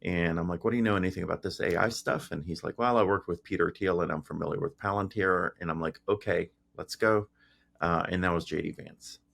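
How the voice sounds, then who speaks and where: A man's voice: low-pitched, fairly quick, gravelly. A middle-aged man from Greece, a guest on a talk show, telling his story casually with many fillers and restarts. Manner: relaxed, frequent fillers and restarts. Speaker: guest, tech founder.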